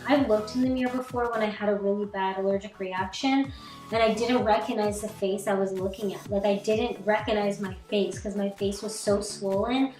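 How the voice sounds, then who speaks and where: Young female vlogger, chatty and rambling; roomy sound with soft music under it. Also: medium pitch. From Canada. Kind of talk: casual chat while doing makeup. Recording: echoey room, distant mic, faint music.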